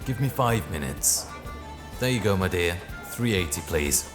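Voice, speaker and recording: A man's voice: low-pitched, expressive, unhurried. A man playing a blunt but friendly older pub landlord in an audio drama. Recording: music behind the voice.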